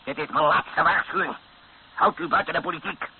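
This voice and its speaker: An actor playing a brutal, domineering rebel colonel in a radio drama, barking commands. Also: male voice, a little high, a little fast, lightly acted. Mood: menacing, commanding. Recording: muffled lo-fi radio recording with hiss.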